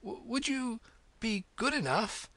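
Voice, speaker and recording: A man's voice: a little high, big pitch swings, a little slow, gravelly, fairly bright tone, slightly rough, no echo, pitched above the performer's own voice, exaggerated voice. A man voicing a polite, curious young girl in a storybook, with a questioning manner. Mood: breathless.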